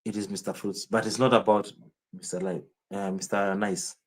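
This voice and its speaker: A man's voice: fairly low pitch, level tone, moderate pace. A man with a Kenyan accent streaming live, rambling in casual commentary and answering viewers' chat comments by name.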